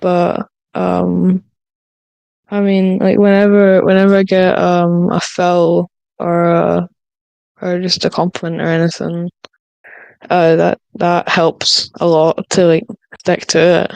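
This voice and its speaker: A woman gives halting, informal answers as a podcast guest. Her voice is clear yet creaky at the edges, with little movement in pitch, and she often repeats words as she searches for the next one. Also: completely flat delivery, darkish tone, dry recording. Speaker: script writer on podcast panel. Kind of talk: casual panel chat.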